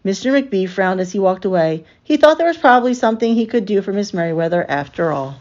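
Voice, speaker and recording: A woman narrates a children's picture book with expression. Her voice sits at an average female pitch, and the recording sounds a little dull and lo-fi. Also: lively intonation, fast, a little mellow. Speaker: storyteller reading a picture book aloud.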